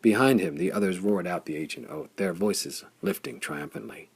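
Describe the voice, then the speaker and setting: A man reading pulp adventure fiction as an audiobook narrator, with a dramatic, intense delivery and a gravelly, husky voice. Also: low voice, animated, moderate pace.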